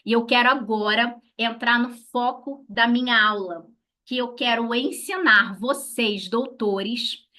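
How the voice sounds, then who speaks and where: Bright-voiced Brazilian woman teaching. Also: a little high, slow. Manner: didactic, asking and answering own questions. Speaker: lawyer teaching a class. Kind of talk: instructional talk to colleagues.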